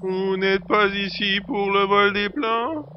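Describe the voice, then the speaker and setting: Man acting a high-pitched, effusive factory director. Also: takes it slowly, dull audio, slight background noise. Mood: polite, flustered. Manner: gushing, long-winded, formal. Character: effusive, rambling, excitable male factory director. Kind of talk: French audio drama acting.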